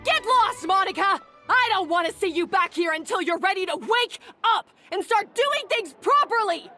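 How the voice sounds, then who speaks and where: Harsh young kitchen boss, very high sharp voice, scornful, commanding, video game acting. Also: female voice, expressive, normal speed, soft background music, heavily acted. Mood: furious. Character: haughty, harsh young female head of a hotel kitchen.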